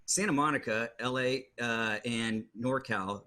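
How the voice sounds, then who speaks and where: A male podcast host with a bright, forward tone. He leads the conversation casually, his questions meandering with fillers and restarts. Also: medium pitch, unhurried. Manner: rambling questions with many fillers.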